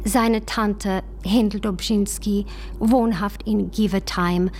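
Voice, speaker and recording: An actress playing an earnest, courteous woman who voices a formal letter in a radio play, with deliberate delivery. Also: mid-range voice, fairly even intonation, a little mellow, a little hiss or hum. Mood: earnest, pleading. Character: courteous, earnest woman writing a letter seeking her relative.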